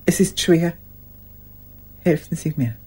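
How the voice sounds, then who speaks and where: An older actress playing a lonely, pleading old woman in a radio play monologue, halting and intense, with a husky voice. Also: low voice, dark tone, heavily acted. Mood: pleading, earnest.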